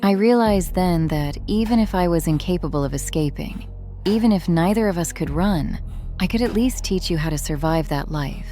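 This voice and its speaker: A woman playing a haunted, protective former street criminal in a drama, speaking in an earnest, halting, confessional way. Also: on the low side, expressive, dark tone, faint music behind, lightly acted. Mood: wistful. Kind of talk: dramatic audio drama acting.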